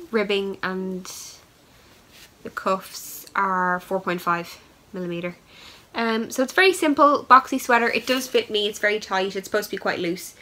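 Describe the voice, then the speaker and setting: A young woman chats casually as she hosts her knitting podcast, her voice sitting at a middling pitch. She is stuffed up with a head cold. She fills her talk with ums and cheerful asides as she shows her work. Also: a bit bright. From Ireland. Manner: chatty, many ums, upbeat asides. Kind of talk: casual show-and-tell chat.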